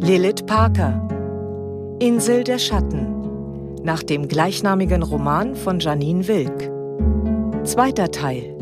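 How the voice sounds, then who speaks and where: A woman announcer reads credits very slowly in a lowish voice. Her scripted radio delivery sits over loud plucked guitar music. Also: lively intonation. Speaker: announcer reading title and credits.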